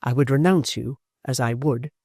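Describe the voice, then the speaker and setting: A man voicing a proud, bitter young woman in a storybook reading, speaking low and steady. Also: moderate pace, no room sound, clean studio sound, lightly acted. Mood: cold. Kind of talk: audiobook character voice.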